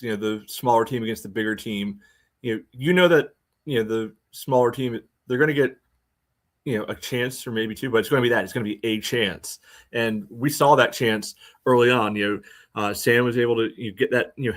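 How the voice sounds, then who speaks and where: A man co-hosting a sports podcast, analysing in a casual, halting way full of verbal tics. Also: medium pitch, a bit bright. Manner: halting, peppers speech with "you know".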